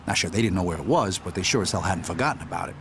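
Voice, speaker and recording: A tough streetwise mob vet, low voice, talks quick, sweary, crime game style. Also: male voice, lively intonation, background noise. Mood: reflective, gritty. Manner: profane, streetwise. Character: hardened mobster Vietnam veteran recounting his past. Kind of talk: gritty crime game voice acting.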